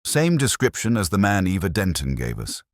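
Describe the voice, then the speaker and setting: A man's voice: sits low, wildly swooping pitch, moderate pace, no echo, studio quality, pitched above the performer's own voice, heavily acted. A man voicing a dutiful police constable reporting to a superior in a mystery story.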